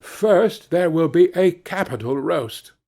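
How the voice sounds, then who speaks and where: Man playing a gleeful, naive country lad, very lively. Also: fairly high pitch, slow pace, pitched above the performer's own voice, heavily acted. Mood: smugly pleased. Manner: exclamatory, earnest, gleeful. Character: cheerful, naive young peasant lad, easily pleased. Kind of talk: fairy-tale storybook character reading.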